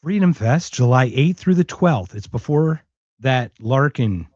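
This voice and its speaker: A man's voice: mid-range voice, lively intonation, a little slow, a little mellow, dry recording, muffled recording. A man hosting a casual podcast conversation in an enthusiastic, effusive way, often repeating himself for emphasis. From Philadelphia, United States. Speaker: podcast host.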